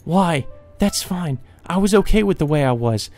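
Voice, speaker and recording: A frantic young maid acted out by a man, pitched well up high. She stammers and cries out in desperate grief, in a visual novel read-through with music behind. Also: lively intonation, moderate pace, darkish tone. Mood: anguished pleading. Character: frantic, grief-stricken young maid crying for her sister.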